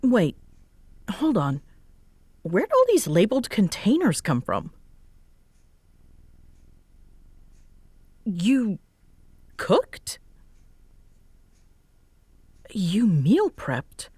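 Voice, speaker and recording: A woman's voice: medium pitch, wildly swooping pitch, slow, pauses often, a little mellow, breathy edge, no echo, exaggerated voice. A woman playing a tired, sarcastic bartender roommate who teases but is secretly fond, in an intimate roleplay audio. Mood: surprised, flustered. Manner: sarcastic, teasing, hesitant when sincere. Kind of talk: roleplay audio acting, intimate one-sided conversation.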